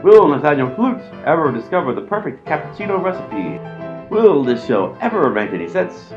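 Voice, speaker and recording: Man as an animated mock-dramatic serial announcer. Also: on the high side, moderate pace. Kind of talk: parody radio serial announcing. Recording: dull lo-fi audio. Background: music.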